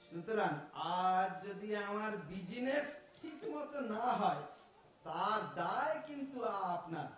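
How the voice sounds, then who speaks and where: Man voicing a sly East Bengali fruit thief, high-pitched, echoey room from far off. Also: animated, slow pace, fairly bright tone, soft background music, full character voice. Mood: wheedling. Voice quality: wheedling, stammering, theatrical. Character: cheeky smooth-talking male fruit thief. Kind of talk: audio drama acting.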